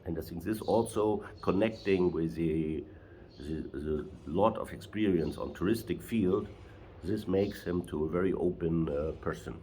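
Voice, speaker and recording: A German man talking in halting, non-native English with many restarts, interviewing a driver. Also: low voice, dark tone, slight background noise. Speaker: traveler and interviewer. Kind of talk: casual interview.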